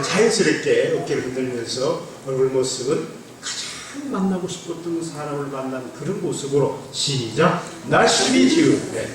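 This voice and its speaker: Echoey pastor with a light Gyeongsang accent. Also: male voice, medium pitch. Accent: North Gyeongsang (Andong). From Andong, South Korea. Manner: playful, teasing the congregation. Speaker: guest pastor. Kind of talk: joking testimony sermon. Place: church sanctuary. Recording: echoey church from a distance.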